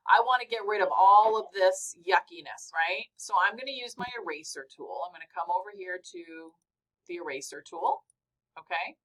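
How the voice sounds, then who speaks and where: Chatty, encouraging woman teacher with a loud voice, on a video call mic. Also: lowish voice, expressive, moderate pace, low-quality recording. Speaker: college instructor. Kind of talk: live online software class. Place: home office. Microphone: computer mic on a video call.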